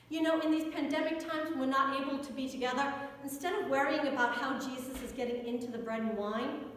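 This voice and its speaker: High-pitched American woman preaching, very echoey church. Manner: conversational, rhetorical questions, emphatic pauses. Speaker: Episcopal priest preaching. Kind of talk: sermon. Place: church interior. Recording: very echoey church with long reverb.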